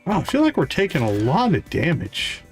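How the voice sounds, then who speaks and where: A man's voice: fairly high pitch, wildly swooping pitch, moderate pace, soft background music. A man hosting a live game stream, giving casual commentary and thinking aloud as he plays. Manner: thinks aloud while playing.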